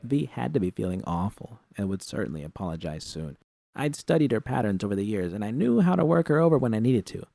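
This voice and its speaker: A man's voice: fairly low pitch, sing-song, fast, creaky, mellow, dry recording, studio quality. A man reading a scripted first-person story as its narrator. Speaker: narrator of fan fiction.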